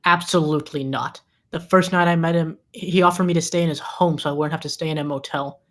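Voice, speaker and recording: A woman's voice: deep voice, fairly even intonation, fairly quick, natural voice. A woman playing a loyal, earnest best friend on the witness stand, speaking emphatically at a screenplay table read.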